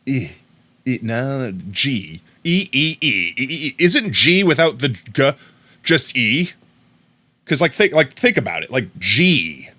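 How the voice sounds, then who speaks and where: Lively, chatty American man streaming. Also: medium pitch. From United States. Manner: rambling and chatty, shouting excited thanks to viewers. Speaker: livestreamer. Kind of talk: casual live-stream chat.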